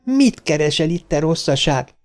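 A man's voice: fairly high pitch, fairly even intonation, creaky, darkish tone, voice pushed up. A man voicing a fretful, exasperated mother in a storybook, impatient and scolding. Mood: alarmed, scolding.

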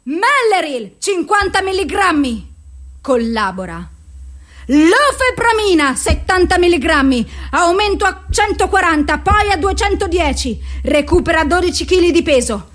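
Radio drama: female clinical reporter, very high voice, clipped theatrical recital of symptoms and doses. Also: sing-song, measured pace, fairly bright tone, exaggerated voice. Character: clinical female voice reciting a psychiatric case file.

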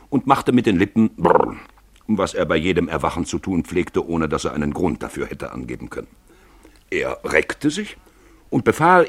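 A man's voice: low-pitched, breathy edge, dry recording. A man narrating a literary tale for a radio play in a dramatic storytelling style.

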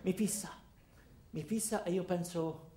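A man narrating a first-person comic monologue as an actor, in a deadpan, terse manner. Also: high voice, measured pace, roomy sound, noisy background.

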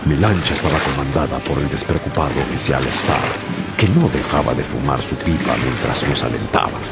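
A man's voice: rumbling low, moderate pace, creaky. A man narrating a sea adventure in a radio drama, telling it earnestly as his own memory. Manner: earnest storytelling, recalling the past. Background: dramatic music.

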